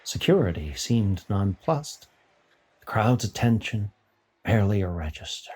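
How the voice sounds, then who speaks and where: A man's voice: lowish voice, lively intonation, a little slow, a little mellow, breathy edge. A man narrating a scripted short story as a literary reader. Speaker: fiction reader. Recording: music bed behind voice.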